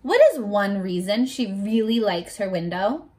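A woman in her twenties reading a picture book aloud to young children as a teacher, expressively, pausing to talk to her listeners. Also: mid-range voice, darkish tone. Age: 20s. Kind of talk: children's read-aloud with simple questions to listeners. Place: small furnished bedroom, indoors.

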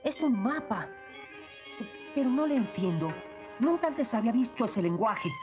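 An earnest young cartoon apprentice boy with a Latin American accent. The voice is high and anxious, in a muffled old dub with faint music. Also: very animated, normal speed. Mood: curious.

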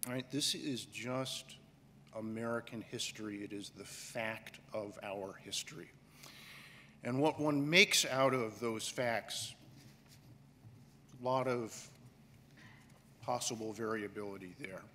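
A middle-aged man delivers a keynote from a podium microphone, measured and emphatic, leaning on pauses. His unhurried delivery rings out through a large hall with a long reverb tail. Faint room ambience sits underneath. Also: lowish voice, sharp tone, a little hiss or hum. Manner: slow, deliberate and emphatic, with pauses and gestures. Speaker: conference director giving a keynote. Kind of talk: keynote speech. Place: large auditorium stage, indoors.

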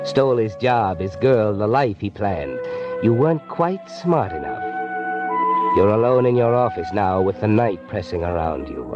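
A man plays an ominous, all-knowing American narrator in a 1940s radio thriller. The low voice mocks and taunts, with music playing behind it on a dull vintage recording. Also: natural voice. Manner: taunting, ominous, addresses the doomed directly.

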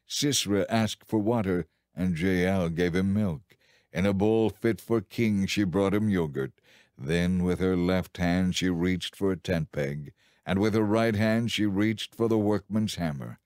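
Male audiobook narrator, lowish pitch, solemn measured scripture storytelling, dry studio sound. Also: a little mellow, no room sound. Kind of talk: dramatized scripted Bible narration.

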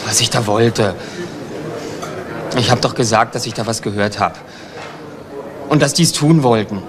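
An actor playing a young homeless petty crook, defensive and evasive, halting and repeating himself in a crime radio play. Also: male voice, mid-range voice, lightly acted. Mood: earnest, uneasy. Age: young adult. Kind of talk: German radio crime drama acting. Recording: noisy retro radio drama recording.

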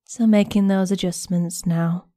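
A woman guiding a meditation in a gentle, soothing, unhurried manner with long pauses between phrases. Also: lowish voice, steady tone, no room sound, clean studio sound. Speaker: guided meditation instructor. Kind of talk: guided body scan meditation narration.

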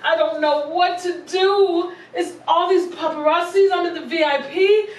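A woman's voice: extremely high pitch, steady tone, a little slow. A woman playing a needy, vain socialite caller in a comedy skit, pleading and gushing with theatrical drama. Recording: echoey, distant mic, hissy background noise.

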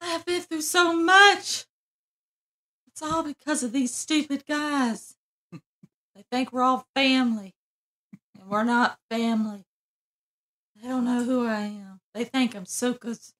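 A woman's voice: sits high, slow, pauses often, a bit bright. A woman ad-libbing mock-dramatically in an improvised amateur comedy fan dub. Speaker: amateur voice dubber.

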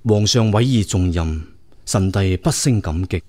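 A man's voice: low voice, a little slow, dark tone, no echo. An actor playing a dutiful prince serving his emperor in a radio drama, respectful and formal. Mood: deferential. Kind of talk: Cantonese radio drama acting.

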